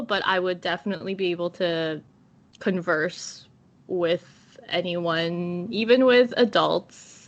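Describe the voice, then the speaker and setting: A woman's voice: medium pitch, fairly bright tone, lo-fi sound. A young woman from Canada, a guest on a podcast, talking in a friendly, curious way and laughing often. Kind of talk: casual podcast chat.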